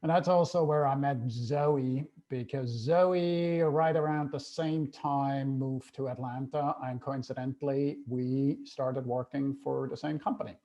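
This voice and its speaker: A middle-aged man from Switzerland telling his life story in a relaxed interview, with many "uh" fillers. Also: medium pitch, measured pace, hardly any pauses, lo-fi sound. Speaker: guest, travel company co-founder. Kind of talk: casual interview chat.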